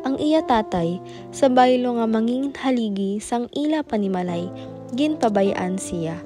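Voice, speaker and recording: Female narrator, high voice, Filipino accent, scripted radio drama delivery over a clear music bed. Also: expressive, a little slow.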